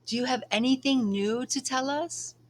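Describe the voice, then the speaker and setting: A woman plays a proud teenage girl in a storybook, quick to tease and to flare up. The voice is lifted in pitch over a noisy recording. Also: close to an everyday voice. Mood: teasing. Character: proud, teasing, hot-tempered teenage girl. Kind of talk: children's book read-aloud.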